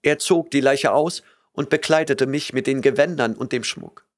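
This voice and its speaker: A man's voice: mid-range voice, normal speed, pitched above the performer's own voice, natural voice. A man voicing a terrified young woman who pleads and whimpers, breathless with fear, in an audiobook reading. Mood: fearful. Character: terrified young woman, a trembling false oracle.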